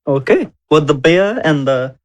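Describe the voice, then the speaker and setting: A man's voice: on the high side, lively intonation, unhurried. A man playing a tabletop roleplaying game, bantering casually in character with a jovial, teasing manner.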